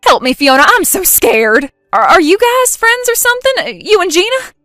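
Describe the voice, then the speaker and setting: A woman's voice: a little high, lively intonation, a little fast, a bit bright, no echo, studio quality, faint music behind. A woman doing a whiny, panicky young schemer. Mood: pleading. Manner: whiny, pleading, easily outraged. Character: brash panicky young woman caught cheating.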